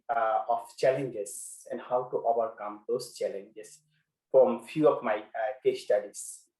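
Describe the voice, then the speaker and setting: A man from Bangladesh whose first language is Bengali, giving a webinar presentation as a guest speaker in a measured way, with frequent pauses and fillers. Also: medium pitch, darkish tone, echoey room, poor audio.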